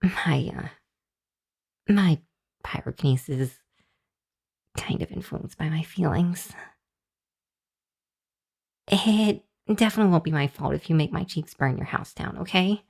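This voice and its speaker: A woman plays a young female hellhound in an intimate ASMR roleplay. Her voice sits low for a woman and moves slowly. She is earnest but easily flustered, hesitating and halting as she talks to the listener. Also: creaky, darkish tone, husky, heavily acted. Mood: flustered, bashful. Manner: hesitant, flustered, halting with sighs and nervous chuckles. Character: shy, earnest young female hellhound demon seeking shelter.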